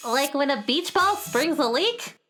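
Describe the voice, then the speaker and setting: A woman's voice: high-pitched, expressive, unhurried, music in the background. A woman playing a shy, sweet little alien girl made of sludge, timid and stuttering, in a children's radio drama. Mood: worried. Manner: hesitant, timid, innocent.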